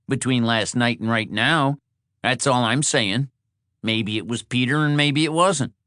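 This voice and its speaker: A man's voice: medium pitch, a bit bright, dry recording, clean studio sound, voice pushed up, natural voice. A man voicing an aggrieved, blunt, stubborn motel guest in an audiobook, defensive and colloquial.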